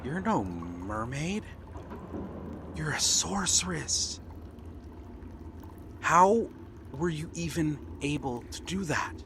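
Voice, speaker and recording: A man's voice: on the high side, sing-song, slow, pauses often, background noise, heavily acted. A man playing a nervous, bookish young pirate prince in an ASMR roleplay, hesitant and earnest. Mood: awed, alarmed.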